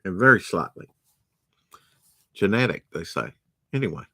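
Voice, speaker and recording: A man's voice: sits low, sing-song, normal speed, fairly bright tone, husky, no room sound, studio quality. A man hosting a late-night storytime, reading a storybook aloud and breaking off into rambling, sarcastic asides. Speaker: storytime host.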